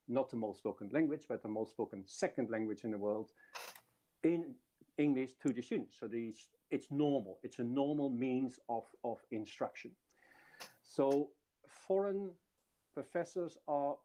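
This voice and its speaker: Man giving a mellow talk. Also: medium pitch. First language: Dutch. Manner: explanatory, with frequent restarts. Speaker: university speaker. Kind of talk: webinar presentation.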